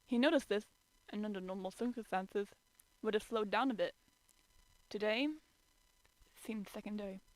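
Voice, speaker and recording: A woman's voice: mid-range voice, moderate pace, no room sound, rough recording. A woman giving an informal, amateur dramatic reading of a story as narrator. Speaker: amateur fan fiction reader.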